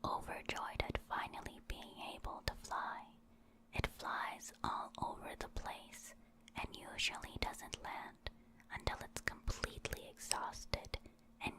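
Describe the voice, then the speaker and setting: Slow, whispering woman reading ASMR. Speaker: ASMR creator reading aloud.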